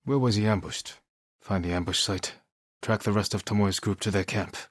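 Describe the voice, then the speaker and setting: An actor playing a stoic, honorable samurai warrior in a video game, speaking in a measured, earnest and restrained way. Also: male voice, lowish voice, steady tone, husky, dry recording, studio quality. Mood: grave, resolute. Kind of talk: scripted video game voice acting.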